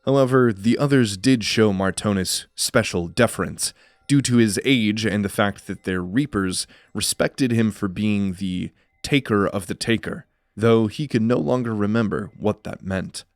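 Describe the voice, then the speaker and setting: A man narrating a scripted fantasy audio drama in a measured storytelling manner. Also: on the low side, dry recording, clean studio sound.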